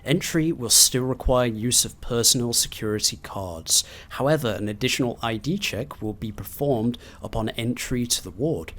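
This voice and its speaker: A man doing video game commentary and reading in-game documents aloud in a theatrical, expressive way. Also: medium pitch, moderate pace, hardly any pauses. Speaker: gaming video host.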